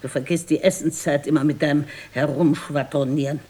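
A scolding old woman in a sixties radio play. Her voice is low and rough-edged, and she nags with biting scorn. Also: steady tone, fairly quick, mellow. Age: older. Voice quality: husky. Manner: nagging, biting. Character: scolding, scornful old wife, married fifty years. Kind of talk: 1960s German radio drama acting.

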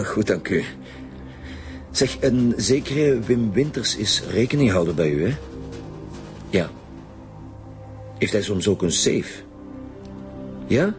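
An actor as a Flemish male detective in a radio crime serial. He speaks in a low voice, blunt and businesslike, like someone used to leading a squad, with music clearly behind him. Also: close to an everyday voice. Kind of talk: naturalistic radio drama acting.

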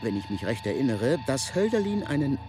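A man giving reflective intellectual commentary in deliberate, formally phrased speech. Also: mid-range voice, big pitch swings. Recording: music playing clearly underneath.

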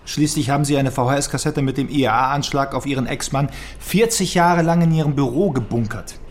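Guy acting cheeky younger detective in a radio krimi, mid pitch, sardonic, nitpicky, keeps needling. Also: moderate pace, close to an everyday voice. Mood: needling, probing. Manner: probing, sardonic, with small interjections. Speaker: police detective. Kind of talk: German radio crime drama acting.

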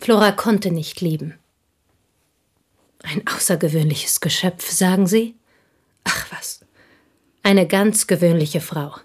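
An actress in a German radio play, playing an intense, embittered provincial Frenchwoman making a fervent, halting confession in a husky, breathy voice. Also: lowish voice. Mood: bitter, intense. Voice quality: husky, breathy, dropping into whispers. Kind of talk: German radio play acting, dramatic monologue.

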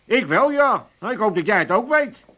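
A man's voice: high voice, sing-song, normal speed, lo-fi sound, background noise. An actor playing a demanding, cheeky old Amsterdam father-in-law in a comic radio drama, whining and wheedling with an Amsterdam accent. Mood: whining, hungry. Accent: Amsterdam Dutch. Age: older.

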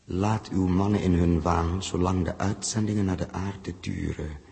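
A man's voice: sits low, fairly even intonation, normal speed, gravelly, a little mellow, slightly rough, exaggerated voice. A man acting a blunt, principled spaceship commander in a 1960s Dutch science fiction radio drama. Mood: grave, calming. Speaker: spaceship captain. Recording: noisy old radio drama recording, clicks.

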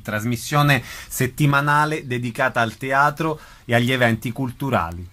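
A man's voice: mid-range voice, a bit bright. A man reading a scripted programme announcement as a radio presenter.

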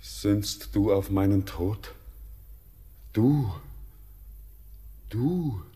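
Anguished young seaman. Lowish pitch, unhurried solemn declamation, classic radio drama. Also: mellow, breathy edge, full character voice. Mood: awed dread. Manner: solemn, theatrical, impassioned declamation. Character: anguished young orphaned sailor, awed and fearful.

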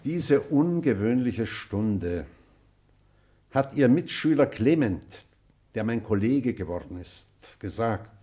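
Old retired teacher, real slow n stern, formal speechifying, radio drama. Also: male voice, medium pitch, warm tone. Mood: stern, questioning. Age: older. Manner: measured, oratorical, authoritative. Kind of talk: radio play acting, speech to a class.

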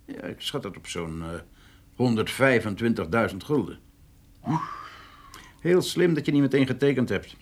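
A shrewd insurance agent in a naturalistic radio play. His voice sits at an average male pitch. He sounds businesslike and persuasive, reckoning things out as he goes. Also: lively intonation, gravelly, breathy edge. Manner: matter-of-fact, persuasive, thinking aloud with pauses. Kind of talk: naturalistic radio drama acting.

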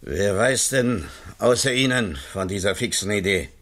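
An actor playing a suspicious, officious investigator, formal and probing, in a 1960s German radio drama. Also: male voice, lowish voice, expressive, normal speed, fairly bright tone.

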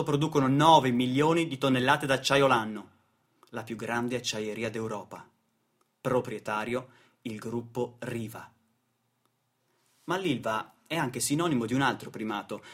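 A man delivering a dramatic narrative monologue in deliberate short phrases, like a stage storyteller. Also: medium pitch, a bit bright.

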